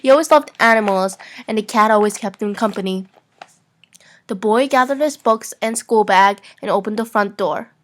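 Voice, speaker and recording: A woman's voice: mid-range voice, moderate pace, background noise. A young woman reading fan fiction narration aloud as an amateur, playful and prone to giggling.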